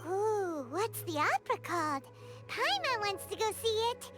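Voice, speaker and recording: A tiny chirpy fairy companion in a video game. The voice is squeaky, childlike and extremely high, swooping with excitable curiosity, with loud music behind it. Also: female voice, measured pace, full character voice. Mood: excited. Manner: exclaiming, curious, refers to self in third person. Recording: background music under the voice.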